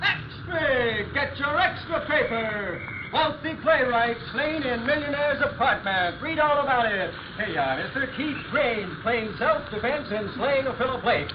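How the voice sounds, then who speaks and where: An actor playing a brash street newsboy crying out sales patter in a 1940s radio drama. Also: male voice, very high-pitched, lively intonation, unhurried. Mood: urgent hawking. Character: brash street newsboy hawking extra papers. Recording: noisy, muffled vintage radio recording.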